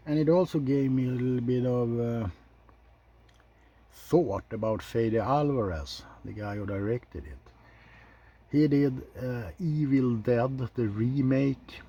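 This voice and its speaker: A man's voice: mid-range voice, darkish tone, husky, highs cut off. A man hosting an ASMR film ranking, chatting in an informal, rambling way with some mild swearing. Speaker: video host reviewing films.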